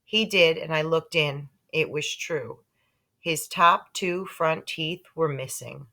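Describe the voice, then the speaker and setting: A woman narrating a children's book aloud in an expressive storytelling style. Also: low-pitched, takes it slowly. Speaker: storybook reader.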